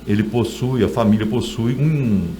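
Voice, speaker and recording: A man's voice: medium pitch, normal speed, mellow, slight background noise. A middle-aged man with a Brazilian accent, an author telling stories in a conversational interview.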